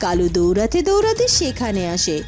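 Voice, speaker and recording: A woman giving scripted narration for a fairy-tale cartoon in an even, clear manner. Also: mid-range voice, a little fast, soft background music. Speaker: narrator and voice of Charulata.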